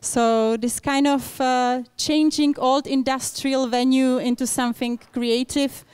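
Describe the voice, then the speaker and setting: Clear-voiced woman. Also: high voice, fairly even intonation, a little slow, a little mellow, no room sound. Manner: frequent fillers and restarts, non-native English. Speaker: event organizer and moderator. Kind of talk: hesitant public speaking at a panel event.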